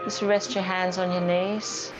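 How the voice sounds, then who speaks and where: A woman leading a gentle yoga class as the teacher, guiding with a calm, soothing, gently instructing manner. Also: lowish voice, measured pace, over music, poor audio.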